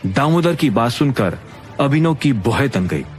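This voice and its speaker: Animated male story narrator over music. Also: mid-range voice, normal speed. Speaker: audio drama narrator. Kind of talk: scripted dramatic story narration in Hindi.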